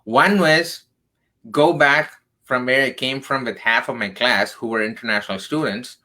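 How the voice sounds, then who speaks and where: Man, from southern India, 30s, bright tone, earnest, emphatic, career coach guest. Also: medium pitch, fairly even intonation, normal speed. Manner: earnest, emphatic, frequent "right?" tags. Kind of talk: radio interview storytelling.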